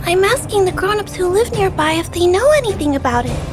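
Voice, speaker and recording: A woman voicing a sweet, polite, timid little girl in an anime-style video game, with a gentle, childlike manner. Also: very high-pitched, very animated, fairly quick, over music. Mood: worried, polite.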